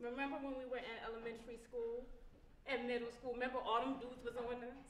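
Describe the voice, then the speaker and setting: A woman's voice: a little high, level tone, fast, distant mic, natural voice. A young woman playing a blunt, boastful, sassy sister in a stage play. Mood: teasing. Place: indoor theater stage living-room set. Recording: noisy, echoey live theater stage.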